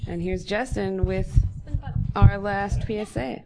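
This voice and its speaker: A woman's voice: medium pitch, steady tone, slow pace, gravelly, a crowd in the background, poor audio. A woman hosting a radio show, giving station announcements. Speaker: radio host.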